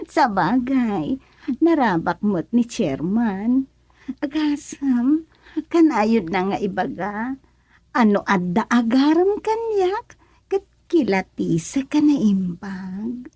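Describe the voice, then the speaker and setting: A teasing village sweetheart with an Ilocano accent in radio comedy. Her voice is high and very lively in pitch, with coy, drawn-out phrasing at an easy pace. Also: husky, dry recording, exaggerated voice. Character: teasing, coy village woman being courted. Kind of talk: Filipino radio comedy drama acting.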